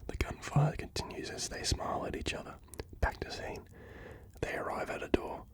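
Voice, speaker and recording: A reader whispering screenplay stage directions in a hushed, unvoiced voice. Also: moderate pace.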